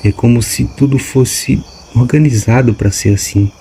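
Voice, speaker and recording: A man's voice: mid-range voice, fairly even intonation, normal speed, dark tone, slight background noise, natural voice. A man voicing a restless, suspicious young woman in an audiobook, speaking colloquially. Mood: uneasy.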